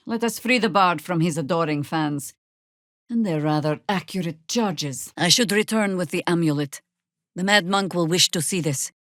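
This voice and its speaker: A seasoned druid warrior woman from a fantasy game. Her voice sits slightly low, recorded very dry. She is sardonic and world-weary but resolute, speaking in measured, commanding phrases. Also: no room sound. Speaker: video game voice actress. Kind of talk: scripted fantasy game dialogue with shouted spell incantations.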